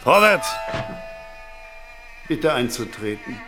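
An actor playing a rough, burly gang leader and hired killer in a radio drama, coarse and threatening. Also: male voice, mid-range voice, sing-song, slow, over music. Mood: menacing.

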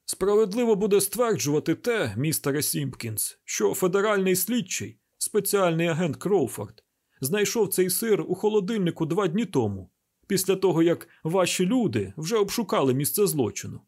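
A man's voice: high-pitched, lively intonation, moderate pace, a little mellow, breathy edge, voice pushed up, exaggerated voice. A man voicing a testy, exacting chief medical examiner in an audiobook, formal and precise in manner. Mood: indignant.

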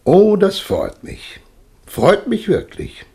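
A man's voice: sits high, huge pitch swings, slow pace, dark tone, full character voice. An actor playing an ambitious nobleman and husband in a 1970s radio drama, wry and commanding.

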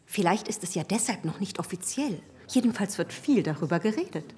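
A woman's voice: mid-range voice, expressive, fairly quick, a little mellow, lightly acted. An actress in a literary radio drama playing a gossipy, opinionated society lady, chatty and emphatic.

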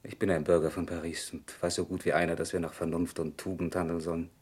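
Deep, husky, grave husband. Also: male voice, level tone, a little mellow. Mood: sober, reproachful. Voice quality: husky, low. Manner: grave, measured, deliberate. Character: sober, dutiful Paris citizen, reproachful husband. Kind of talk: old German radio drama acting.

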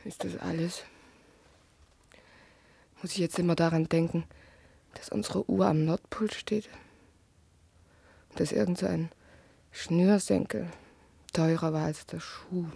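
A woman's voice: lowish voice, steady tone, unhurried, vocal fry, long pauses, dark tone, breathy edge, no room sound, full character voice. A woman playing an impatient, curt and wry householder in a German radio play. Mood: wistful, wry.

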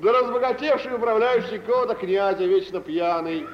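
An actor playing a shrewd, gossipy young merchant in a 1940s Russian radio play, telling tales in an expressive, teasing way. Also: male voice, high-pitched, a little fast, close to an everyday voice. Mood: mocking, gossipy. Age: young adult. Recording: dull, echoey, hissy old radio recording.

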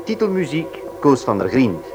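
A man's voice: mid-range voice, big pitch swings, moderate pace, over music. A man narrating and announcing a radio detective serial in a formal, dramatic manner. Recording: hissy old radio recording.

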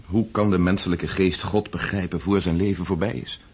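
An actor playing a wealthy young Russian count in a radio drama, unhappy and doubting, speaking in a polite, brooding, hesitant way. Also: male voice, low voice, steady tone, moderate pace, darkish tone, husky. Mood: despairing. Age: young adult. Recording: noisy old radio play recording.

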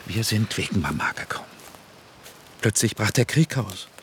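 A man's voice: lowish voice, normal speed, a little hiss or hum, natural voice. An actor playing a proud, defensive film director who justifies his choices in a radio drama. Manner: animated, self-justifying.

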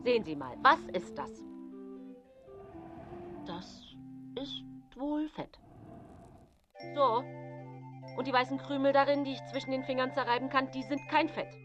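An actress playing an indignant, suspicious householder in pointed radio play dialogue. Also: female voice, sits high, unhurried, long pauses. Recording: dull, band-limited sound over music.

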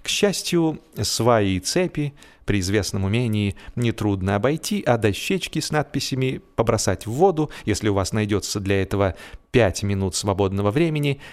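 A man narrating humorous literary prose like an audio play, with expressive, theatrical delivery and deliberate, drawn-out phrasing. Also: lowish voice. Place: studio.

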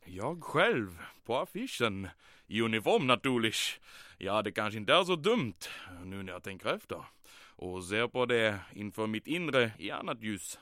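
A vain commandant for an old-style radio play. The voice is bright and forceful, swooping widely in pitch. He is pompous and theatrical, as ready to bark orders as to pity himself, and is recorded very dry. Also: sing-song, no room sound. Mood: flattered, musing. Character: vain, bombastic German Nazi commandant, easily flustered. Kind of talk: old-time radio comedy drama acting.

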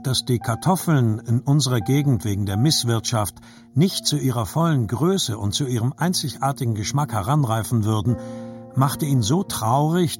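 Measured male narrator with music behind. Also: medium pitch, darkish tone. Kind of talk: scripted literary narration.

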